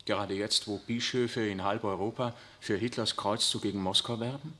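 A man's voice: fairly low pitch, steady tone, normal speed, pushed and bright. An actor playing an earnest, deferential young priest in his twenties in a 1960s radio drama. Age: 20s. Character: earnest young male priest, 27, respectful and eager.

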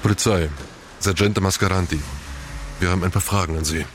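Male actor as police sergeant, radio crime play. Deep, clipped, official; noisy ambience. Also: fairly even intonation, a little fast, close to an everyday voice. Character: businesslike male police sergeant conducting an inquiry. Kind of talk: German radio crime drama acting. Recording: clear background noise, vehicle ambience.

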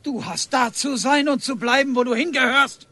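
A man's voice: very high-pitched, moderate pace, pushed and bright, full character voice. An actor playing a rigid, duty-bound village policeman in a radio drama, stern and commanding.

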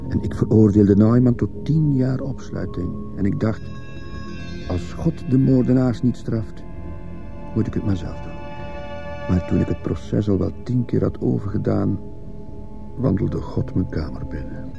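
An actor playing a troubled, bitter dismissed schoolteacher in a radio play, brooding and terse, with a gravelly voice. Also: male voice, on the low side, a little slow, music in the background. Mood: brooding, haunted. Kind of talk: Dutch radio play acting with first-person narration. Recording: muffled old radio play recording.

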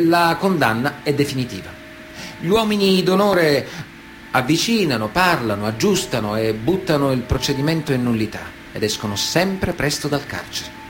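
A proud, evasive mafioso, speaking in a fairly high male voice. He weighs out his sentences like maxims, with deliberate pauses, in a theatrical radio monologue. Music runs clearly under the voice. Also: fairly bright tone. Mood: proud, solemn. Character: proud, evasive Sicilian mafioso, a self-styled man of honour.

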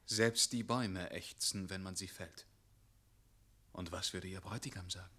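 An actor in a radio play playing a resolute, earnest wandering hero who challenges others with intensity. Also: male voice, low-pitched, fairly even intonation, normal speed, bright, forceful tone, husky, full character voice. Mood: earnest, probing. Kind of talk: German radio play acting.

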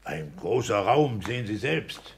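A smug, gravelly petty-bourgeois landlord. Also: male voice, fairly low pitch, sing-song, a little slow, heavily acted. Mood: curt, businesslike. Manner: matter-of-fact, self-satisfied. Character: petty-bourgeois official and landlord, smug, practical, domineering husband. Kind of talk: 1960s German radio play acting.

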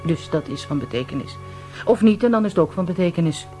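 An actress in a Dutch radio drama playing a brisk, dry-witted female police inspector with a bossy, teasing manner. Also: on the low side, expressive, dark tone, soft background music, lightly acted. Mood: admiring, musing. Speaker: actor in a radio play.

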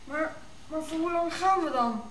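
A woman's voice: high voice, moderate pace, fairly bright tone. A female performer playing an earnest, questioning young African boy in a radio drama. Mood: puzzled, worried. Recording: clearly noisy background.

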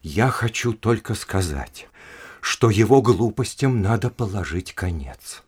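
An actor in an audio drama playing a haughty, indignant aristocratic official who speaks in an emphatic, scornful and domineering way. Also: male voice, fairly low pitch, expressive, a little slow, a little mellow, husky. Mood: stern, insistent. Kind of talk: Russian audio drama acting.

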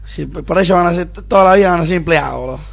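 A man's voice: fairly high pitch, fast talker, vocal fry. A man playing a spoiled, impatient young boss with an affected upper-class Buenos Aires drawl, whiny and dismissive, in an amateur radio play. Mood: exasperated. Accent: Argentine, Buenos Aires. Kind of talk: amateur radio drama acting.

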